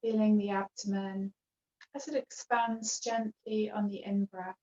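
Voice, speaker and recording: A woman teaching yoga, guiding a relaxation practice with gentle, unhurried phrasing and long pauses. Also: mid-range voice, little pitch movement, echoey room. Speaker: yoga teacher leading a guided relaxation class. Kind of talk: guided meditation instruction. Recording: dull, lo-fi video call sound.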